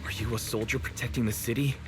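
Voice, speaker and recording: A man's voice: mid-range voice, fairly even intonation, fast talker, background noise, lightly acted. An actor playing a composed, probing man in a video game, speaking in a pointed, serious way. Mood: grave, accusing. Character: composed, probing male character confronting a soldier. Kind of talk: dramatic video game voice acting. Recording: game dialogue under loud music.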